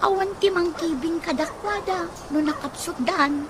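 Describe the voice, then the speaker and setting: A woman's voice: extremely high pitch, normal speed. A woman playing a cheeky, prying young niece with an Ilocano accent in a radio comedy drama. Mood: cheeky, teasing. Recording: loud background noise with chickens and dogs.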